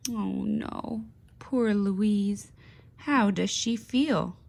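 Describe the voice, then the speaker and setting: A woman reading a children's picture book aloud in an expressive, deliberate teaching way, pausing to put questions to young listeners. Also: mid-range voice, creaky. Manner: slow, deliberate, with pauses. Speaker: speech therapist.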